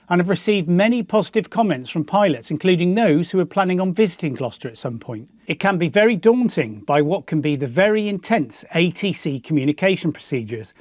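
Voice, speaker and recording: A man's voice: sits high, darkish tone, no echo, clean studio sound. A man with a British accent presenting a scripted explanatory piece as the host of a hobby channel. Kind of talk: scripted explanatory narration to viewers.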